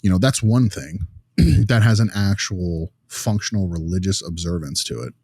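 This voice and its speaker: Man, low voice, dry sound, blunt, opinionated, informal podcast co-host. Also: moderate pace, darkish tone, husky, dry recording. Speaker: podcast co-host and clinical discussant. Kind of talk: casual podcast discussion.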